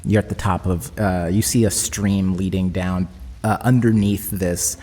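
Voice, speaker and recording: A man's voice: sits low, fairly even intonation, unhurried, warm tone, big-room sound. A man running a tabletop roleplaying game as game master, narrating in a dramatic, descriptive way.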